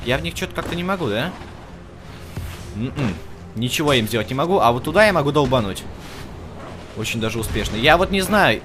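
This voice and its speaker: A man with a mid-pitched voice gives casual let's play commentary as a gaming video host. He thinks aloud and addresses the game characters directly, with music clearly playing behind him.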